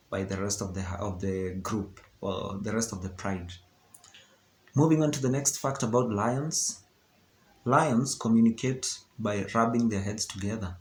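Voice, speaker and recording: A young Kenyan man with a Kenyan accent, English not his first language, explaining things in a chatty vlog, often checking in with "okay? yeah". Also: on the low side. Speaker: vlogger. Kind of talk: casual educational vlog talk. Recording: roomy echo with faint background hiss.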